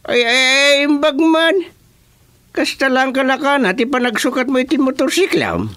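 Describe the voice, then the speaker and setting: A man's voice: very high voice, big pitch swings, pushed and bright, full character voice. A man playing a worried, fussing middle-aged village uncle with an Ilocano accent and many exclamations, in a rural radio comedy drama. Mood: alarmed, fretful. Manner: exclamatory, drawn-out interjections.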